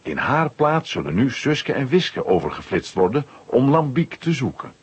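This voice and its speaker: A man with a husky voice narrating a children's audio drama in a scripted storytelling style. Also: medium pitch, big pitch swings, normal speed. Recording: slightly dull recording.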